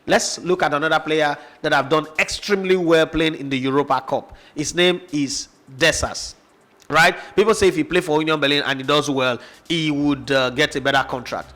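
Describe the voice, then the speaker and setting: A man's voice: a little high, steady tone, moderate pace, sharp tone. A man with a Nigerian accent hosting a sports show, giving opinionated football analysis and firing off rhetorical questions. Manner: passionate, argumentative, fires rhetorical questions.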